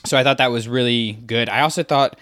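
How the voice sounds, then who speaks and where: Bright-voiced man chatting about books. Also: medium pitch, a little fast. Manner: chatty, many fillers and restarts. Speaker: podcast co-host. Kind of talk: casual discussion of a book.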